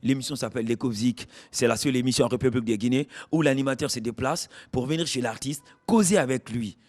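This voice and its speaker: A man's voice: medium pitch, fast, a little mellow. A man with a Guinean accent hosting a TV music show, interviewing an artist and addressing viewers in declamatory phrases. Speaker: TV show host. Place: at the artist's home.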